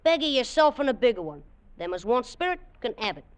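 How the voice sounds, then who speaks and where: An actor playing a young soldier, grumbling, whiny and timid about the fighting. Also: male voice, very high voice, very animated, fast. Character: young cockney army fifer, grumbling and cowardly. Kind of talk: 1940s radio acting. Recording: old radio broadcast with faint hiss.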